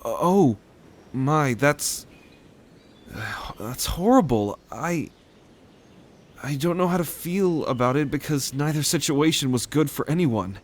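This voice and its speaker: A man acts out a gentle young half-blood man in a roleplay audio drama. His voice is pitched up and takes its time, tentative and earnest, with halting phrases. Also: lively intonation. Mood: shaken, sympathetic.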